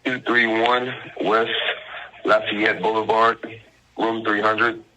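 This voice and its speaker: A man's voice: on the low side, flat delivery, slow pace, pushed and bright. A man on a phone call speaking formally and insistently as a caller posing as an official, in legal language and addressing the listener as ma'am. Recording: telephone line with faint noise.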